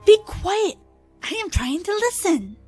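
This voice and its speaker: Woman playing a high-voiced, fiery young adventurer. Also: sing-song, a little slow, faint music behind, exaggerated voice. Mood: impatient. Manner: forceful, formal uncontracted phrasing. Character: fiery, headstrong young female adventurer, quick to fight. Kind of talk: audio drama acting.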